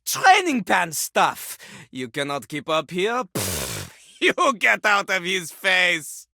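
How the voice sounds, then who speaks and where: A woman's voice: mid-range voice, sharp tone, dry recording, studio quality, full character voice. A woman voicing a cocky, ruthless talking toilet rapper in a video game, with a brash, mocking, grandiose manner. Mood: boastful, instructing. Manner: brash, mocking, grandiose, speaks of self in third person. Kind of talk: animated video game character acting.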